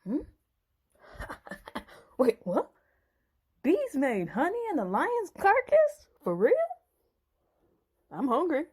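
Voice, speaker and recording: A woman's voice: high-pitched, wildly swooping pitch, slow, long pauses, a little mellow, pitched above the performer's own voice, heavily acted. A woman playing a brash, boastful young strongman in a Bible story dramatization, with swaggering, slangy delivery. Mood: amazed, delighted. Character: brash, cocky young strongman Samson, boastful and hot-headed.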